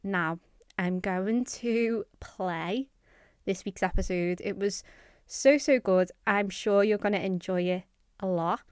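A woman hosting her own podcast, chatty and enthusiastic. Her voice is smooth and resonant at a middling pitch. The sound is dry and close. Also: takes it slowly, a little mellow, dry recording. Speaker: podcast host and coach. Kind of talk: podcast intro and interview.

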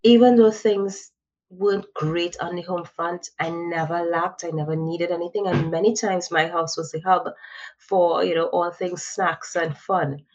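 A woman's voice: on the low side, steady tone, a little slow, lo-fi sound. A woman from Trinidad and Tobago, a podcast guest, talking reflectively about her life with frequent "you know" fillers. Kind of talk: conversational interview answers.

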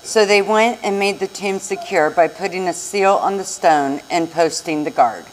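A woman's voice: on the low side, normal speed, slight background noise, low-quality recording. A woman reading a Bible narrative aloud as a scripture reader in a church service.

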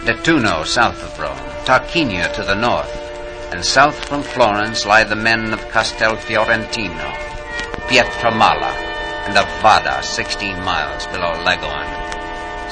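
An actor playing a solemn memorial narrator in scripted 1940s radio style. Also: male voice, on the low side, a little slow, loud music behind the voice, close to an everyday voice, rough recording. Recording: old 1940s radio recording.

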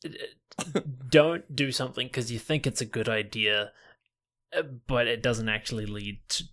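A man's voice: mid-range voice, moderate pace, fairly bright tone. A man from New Zealand, a guest chatting casually about himself, laughing often and restarting his sentences. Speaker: podcast guest, online gamer. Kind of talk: casual chat.

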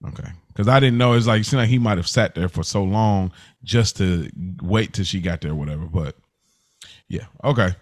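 A man with an American accent and a fairly deep voice leads a casual recap discussion. His phrasing is informal, and he often puts questions to the others at the table. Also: fairly quick. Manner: informal, poses questions to co-hosts. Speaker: podcast host.

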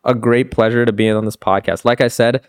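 A young man chatting as a podcast guest, relaxed and upbeat, laughing often and filling his talk with "dude" and "like". His voice is clear and resonant. The sound is dry and close. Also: mid-range voice, fairly quick, no echo. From Pakistan. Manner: laid-back, says "dude" and "like" a lot, laughs often. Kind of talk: casual chat.

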